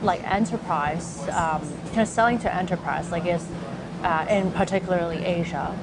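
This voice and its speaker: A woman's voice: fairly low pitch, moderate pace, music in the background, low-quality recording. A woman who co-founded a startup, chatting casually in an interview and peppering her talk with "like" and "you know". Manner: animated, frequent fillers like "like" and "you know". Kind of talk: conversational interview chat. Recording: loud background noise.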